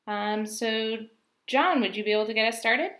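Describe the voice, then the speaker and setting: A woman's voice: a little high, normal speed, a bit bright. A young woman moderating a video call panel, friendly and organizing, with frequent fillers. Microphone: webcam mic on video call.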